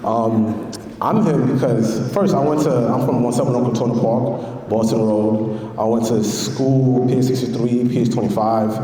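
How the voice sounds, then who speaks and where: A man from Honduras, raised in the Bronx, introducing himself on a panel in a relaxed, proud way. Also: medium pitch, moderate pace. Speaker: panel guest, fashion designer. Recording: distant, roomy hall.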